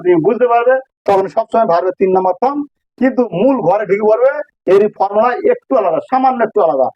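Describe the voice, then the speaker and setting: A man's voice: sits high, a little mellow, no room sound, lo-fi sound. A man with a Bengali accent teaching a grammar lesson informally, explaining step by step and repeating phrases for emphasis.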